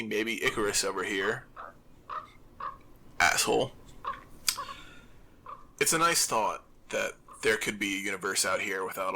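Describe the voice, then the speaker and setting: Low, bright-voiced, anxious apocalypse survivor played by a man. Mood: wistful, self-deprecating. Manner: rambling, self-deprecating, halting. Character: anxious, overthinking male zombie apocalypse survivor broadcasting alone. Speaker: fictional radio broadcaster. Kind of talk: audio drama monologue as a confessional radio broadcast.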